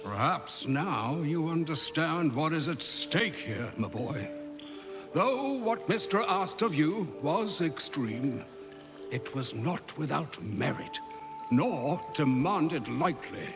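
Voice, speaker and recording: A man's voice: fairly high pitch, expressive, takes it slowly, over music. A man playing a wise, grandfatherly old wizard in a fantasy game, with theatrical, pompous delivery and ornate phrasing. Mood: solemn. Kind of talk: fantasy video game acting.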